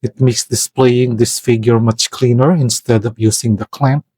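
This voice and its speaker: Male toy reviewer, mid-pitched, unhurried and careful, stops to hunt for words; dry, close sound. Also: steady tone, a little mellow, husky, no room sound. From Philippines. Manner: hesitant, searching for words, careful. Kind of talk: product review voice-over.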